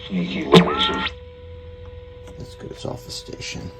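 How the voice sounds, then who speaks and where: A man's voice: slow, music in the background. A man giving a casual repair demonstration, muttering a running commentary to himself as he works. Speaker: radio repairer.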